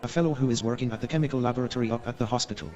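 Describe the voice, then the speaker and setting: A man's voice: medium pitch, racing, muffled recording, close to an everyday voice. An actor playing a friendly, chatty young Victorian man in a comic staged radio play. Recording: crowd murmur behind the voice.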